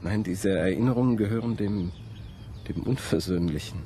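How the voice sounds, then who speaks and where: An actor playing a troubled, intense young male student in a radio drama, speaking in a brooding, halting way. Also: fairly low pitch, warm tone, a little hiss or hum, lightly acted. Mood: haunted, confiding. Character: troubled young male student haunted by his ancestor's memories. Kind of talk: German radio drama dialogue.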